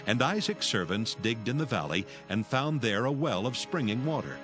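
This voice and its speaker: A man narrating scripture from a script in a formal, measured manner. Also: medium pitch, sing-song. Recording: music behind. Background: music.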